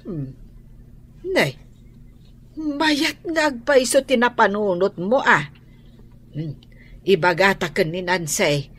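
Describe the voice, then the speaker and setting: An actress playing an anxious, hopeful middle-aged mother with an Ilocano accent and a husky voice, sighing and exclaiming in a radio drama. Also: mid-range voice, fairly bright tone, heavily acted. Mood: patient, hopeful. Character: anxious, hopeful mother worried for her ailing daughter.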